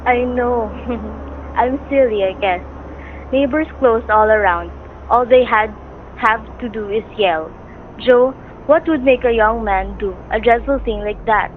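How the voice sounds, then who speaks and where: A woman playing a worrying, gentle wife and mother in a radio suspense play. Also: a little high, measured pace, natural voice. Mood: worried. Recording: phone-like radio sound, faint noise.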